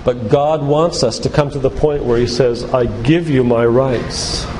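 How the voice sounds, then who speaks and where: A man's voice: mid-range voice, steady tone, unhurried, darkish tone. Man preaching in an echoey hall. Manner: emphatic, preacherly, with rhetorical questions. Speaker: physician giving a faith-based lecture. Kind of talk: sermon-like church lecture. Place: church, speaking to a congregation. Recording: echoey church hall with background noise.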